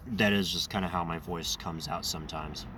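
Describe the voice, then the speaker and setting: A man hosting his own channel, talking in a loose, rambling monologue with a raspy, slightly nasal voice, congested and over-caffeinated. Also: sits low, distant mic, very noisy. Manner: rambling, self-correcting. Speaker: channel host. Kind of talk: casual monologue.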